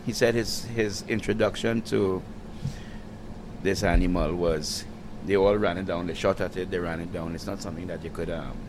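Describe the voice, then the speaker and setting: Low-voiced man telling a story. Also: fairly even intonation, normal speed. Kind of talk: casual chat. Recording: noisy background.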